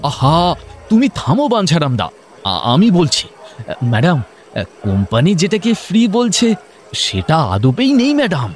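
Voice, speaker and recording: A man's voice: on the high side, huge pitch swings, moderate pace, soft background music. A man playing a meek, earnest tradesman in a comic radio drama, explaining himself hesitantly and deferentially. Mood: earnest, pleading.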